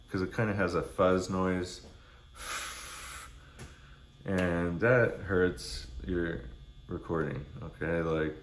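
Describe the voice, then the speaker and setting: A man in his forties with a low voice chats straight to camera in a loose, blunt way. He swears freely and rambles like a laid-back vlogger. The room adds a clear echo to the camera mic. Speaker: music vlogger. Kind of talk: casual rambling talk to camera. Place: small indoor room with neon signs. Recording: roomy echo.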